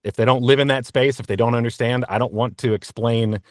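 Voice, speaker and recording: A man answering interview questions candidly as a voice actor, in an earnest, reflective and halting conversational manner. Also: mid-range voice, steady tone, no room sound, clean studio sound. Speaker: interview guest, voice actor and narrator.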